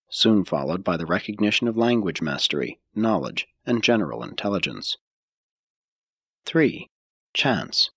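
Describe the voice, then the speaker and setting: A man narrating an audiobook from a script in a measured, formal way, with deliberate emphasis. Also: lowish voice, fairly bright tone, breathy edge, no echo.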